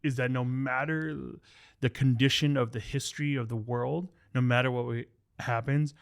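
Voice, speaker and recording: Brief: male podcast host, mid pitch, chatty and playful, casual talk. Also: fairly even intonation, normal speed. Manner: chatty, joking, repeats words.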